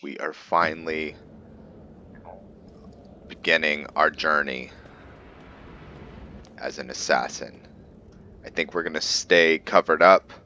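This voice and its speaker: A man's voice: a bass voice, steady tone, slow, long pauses, bright, forceful tone, muffled recording, slight background noise. A man narrating his roleplay playthrough of a video game, casually thinking aloud and correcting himself as he goes. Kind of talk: casual let's-play commentary.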